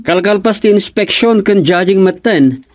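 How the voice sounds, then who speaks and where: A man with an Ilocano accent playing an excitable, deferential village official in a radio comedy drama. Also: sits high, measured pace. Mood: excited, deferential.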